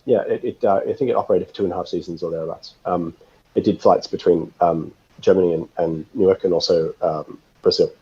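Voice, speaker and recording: Slightly lo-fi audio carries a man's deep, husky voice. He is an expert guest in a casual interview, reasoning through his explanations thoughtfully and haltingly. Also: steady tone.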